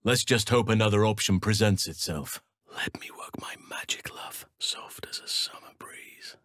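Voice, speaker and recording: A man playing a wise, gentle druid in a video game, speaking in a measured, formal and earnest way. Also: fairly low pitch, no echo, in a whisper, studio quality, close to an everyday voice. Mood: hushed. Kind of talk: video game character acting.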